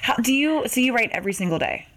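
A woman's voice: a little high, sing-song, quick pace, heavy background noise. A woman hosting a podcast, asking questions in a casual interview style.

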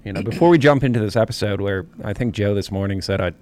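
A man's voice: lowish voice, fast talker, darkish tone, slight background noise. Man co-hosting a talk podcast. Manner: earnest, leading the topic with stats. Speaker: podcast co-host. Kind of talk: casual political podcast chat.

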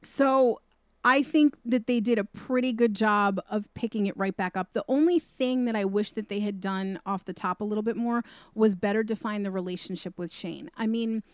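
Woman on a movie podcast, mid pitch, blunt and emphatic, dry close audio. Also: normal speed, clear, resonant tone, no echo. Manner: direct, emphatic, candid. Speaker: podcast co-host. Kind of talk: casual movie review chat.

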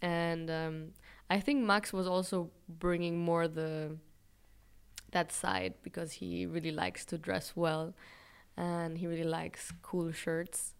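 A young woman from Israel speaks in a smooth, clear voice that sits a little low and stays fairly level. She answers interview questions thoughtfully and candidly, pausing on fillers and laughing at herself now and then. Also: little pitch movement. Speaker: interviewee, fashion brand founder.

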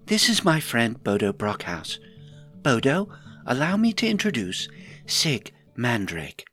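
A man's voice: medium pitch, wildly swooping pitch, measured pace, a bit bright, soft background music. A man voicing a spirited female hobbit adventurer with a breezy, coaxing manner in a storybook reading. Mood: brisk, eager.

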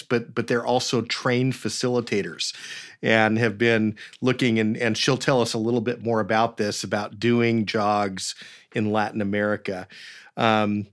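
Upbeat man hosting a podcast. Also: medium pitch. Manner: upbeat, enthusiastic, with fillers. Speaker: podcast host. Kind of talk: conversational interview. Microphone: video call.

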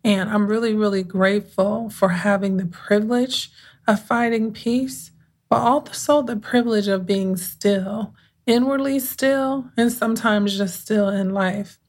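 A woman hosting her own podcast as a mindset coach, talking in an unhurried, conversational way with a soothing voice and thoughtful pauses. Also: mid-range voice, steady tone, darkish tone. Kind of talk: casual reflective podcast monologue.

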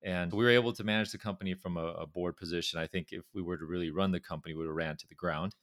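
Middle-aged Californian man, very low voice, dry close sound, repeats words as he thinks. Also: steady tone, racing, a bit bright, no room sound. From Southern California. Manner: repeats words while gathering thoughts. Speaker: pharmaceutical company chairman, guest. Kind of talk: conversational interview.

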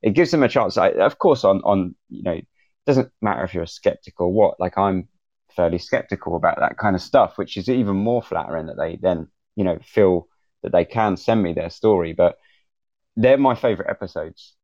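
A man talking casually as a podcaster on a panel, in a halting way with repeats and soft qualifiers. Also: low-pitched, expressive. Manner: halting, repeats and hedges softly. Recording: dull, roomy, echoing audio.

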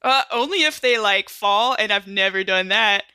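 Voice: medium pitch, normal speed, sharp tone, smooth, no echo, natural voice. A performer playing a friendly, joking young Marine medic in a sci-fi tabletop roleplay, rambling and informal. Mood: teasing, playful. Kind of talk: improvised in-character tabletop roleplay.

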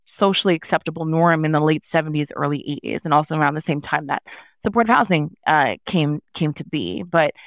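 Brief: woman from New York, guest on a podcast, reflective and halting, smooth resonant voice, dry close mic. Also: low-pitched, no room sound. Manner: reflective, with frequent restarts and fillers. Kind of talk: conversational interview answers.

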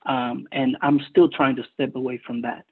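A man's voice: medium pitch, little pitch movement, husky. Hesitant Cuban man on a tinny line. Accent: Cuban Spanish. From Cuba. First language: Spanish. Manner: hesitant, earnest, many pauses. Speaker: recovery meeting speaker. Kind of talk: personal testimony. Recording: tinny phone-line audio.

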